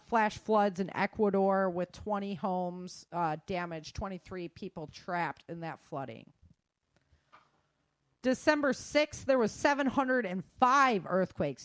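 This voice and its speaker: Lady host doing a casual news rundown, kinda slangy, lower-mid voice, really dry close sound. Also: no room sound. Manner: informal, slangy. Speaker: host of a survival news show.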